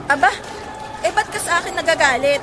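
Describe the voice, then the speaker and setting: High-voiced, exasperated mother. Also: a little fast, crowd noise, noisy background. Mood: exasperated, scolding. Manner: scolding, sighing, sarcastic. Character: exasperated, struggling working mother and nagging wife. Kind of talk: Filipino audio drama acting.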